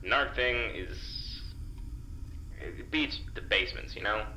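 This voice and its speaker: A man playing a plain-spoken working-class security guard in a naturalistic audio drama, terse, hesitant and dry, reluctantly soft-hearted. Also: on the low side, slow, echoey room, a little hiss or hum, heavily acted, poor audio.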